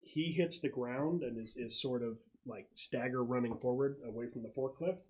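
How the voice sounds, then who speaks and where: A man's voice: medium pitch, normal speed, poor audio. Man running a tabletop game, conversational. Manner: conversational, explanatory. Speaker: game master. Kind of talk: explaining rules and narrating a tabletop game.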